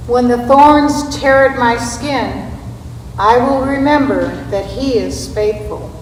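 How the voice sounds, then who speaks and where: A woman giving a scripted dramatic recitation in a church readers theater, with a deliberate, measured delivery. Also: a little high. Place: large reverberant church space. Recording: echoey hall, distant mic, background noise.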